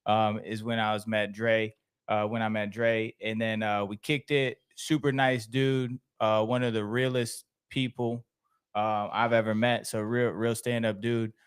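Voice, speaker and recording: A young man hosting a casual podcast, telling stories in a relaxed way with plenty of laughs and fillers. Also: fairly low pitch, unhurried, clear, resonant tone. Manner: laid-back, storytelling, frequent laughs and fillers. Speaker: podcast host.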